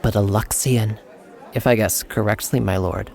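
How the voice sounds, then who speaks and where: A man's voice: lowish voice, fairly even intonation, normal speed, gravelly, darkish tone, a faint crowd. An actor playing an anxious, deceitful steward in an audiobook, cool and tensely deferential to his master. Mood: guarded, anxious. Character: anxious, icy, deceitful male steward serving a lord. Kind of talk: audiobook character acting.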